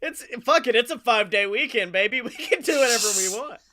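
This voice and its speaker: A man chatting casually as a comedy podcast co-host, enthusiastic, talkative and quick to swear. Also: very high voice, expressive, bright, forceful tone.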